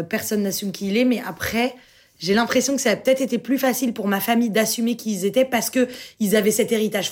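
Reflective woman, fairly high voice. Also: a little mellow. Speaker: podcast guest. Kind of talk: casual round-table chat.

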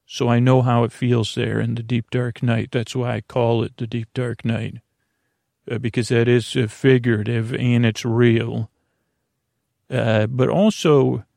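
Male sleep-podcast host: gravelly, creaky, close and dry, meandering and hesitant, soothing bedtime delivery. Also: mid-range voice, darkish tone, no echo. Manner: meandering, hesitant, self-interrupting asides. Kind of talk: rambling, soothing bedtime monologue.

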